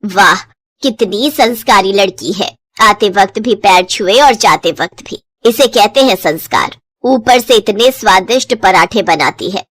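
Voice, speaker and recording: A woman's voice: medium pitch, expressive, unhurried, fairly bright tone, no echo, pitched below the performer's own voice. A woman voicing a middle-aged mother-in-law in a cartoon story, bickering yet easily delighted, with theatrical exclamations. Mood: admiring, scolding.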